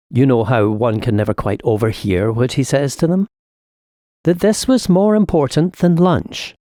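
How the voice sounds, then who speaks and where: A man's voice: mid-range voice, creaky, dark tone, no echo, studio quality. A man voicing an urbane senior demon in a dramatic audiobook, lecturing his young nephew with pompous, sly smugness. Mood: smug, reminiscing. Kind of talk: dramatic audiobook reading of epistolary satire.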